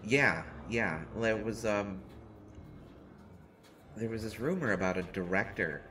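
A deep, low-voiced man plays a timid little survivor who stumbles over his words and keeps restarting. He talks slowly and anxiously, rambling to fill silence. Audible hiss and ambient noise sit behind the close mic. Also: pushed and bright. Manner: stammering, nervous, self-deprecating, rambling. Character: shy, nervous small young man survivor. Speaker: audio roleplay performer. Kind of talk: scripted audio roleplay monologue.

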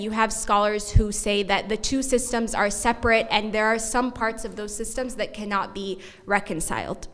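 A young woman giving an academic conference talk at a podium microphone, speaking in a measured way from notes with small restarts. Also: mid-range voice, fairly bright tone. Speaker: conference presenter. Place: large conference hall at a podium. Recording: long hall reverb.

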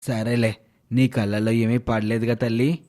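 A man voicing a caring middle-aged village father who speaks tenderly to his child in an animated moral story. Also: mid-range voice, normal speed, close to an everyday voice. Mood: gentle.

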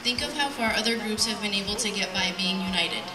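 A young adult woman giving an earnest, measured prepared speech at a podium in an event hall, reading from notes. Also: mid-range voice. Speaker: speaker at a community event. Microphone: handheld mic on PA, picked up by distant camera. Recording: roomy, distant sound with music.

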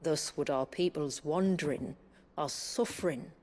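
An actress playing a grave village woman who recounts her people's tragic past in a measured, formal, earnest way for a video game. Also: deep voice, a little mellow. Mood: grave, reverent. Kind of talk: solemn scripted storytelling in video game drama.

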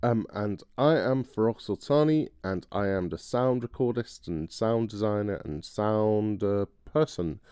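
A man's voice: on the low side, measured pace, few pauses, dark tone, smooth, dry recording. A man co-hosting a podcast, giving a casual introduction. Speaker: podcast co-host and sound designer.

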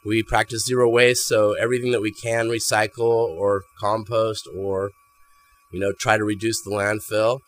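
A man's voice: lowish voice, little pitch movement, moderate pace, a bit bright. A man, a barbershop owner chatting casually in an interview inside a small shop, often repeating words as he thinks. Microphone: handheld mic held by interviewer.

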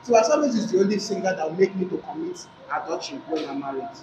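A man's voice: high-pitched, animated, fast. A young man with a Nigerian accent in a casual debate, insistent and opinionated. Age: young adult. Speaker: participant in a music debate. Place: indoor gym, medium-sized room. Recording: echoey, noisy gym room pickup.

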